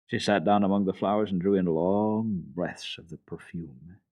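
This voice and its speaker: Low-voiced man reading a children's story. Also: steady tone, normal speed, a little mellow. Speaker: storyteller, children's radio host. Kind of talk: dramatic reading of a children's classic.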